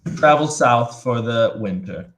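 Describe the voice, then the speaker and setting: A man's voice: mid-range voice, animated, unhurried, a bit bright, slight background noise. A man from Buffalo, co-hosting a casual live stream in a chatty, joking way. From Buffalo, New York. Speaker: co-host.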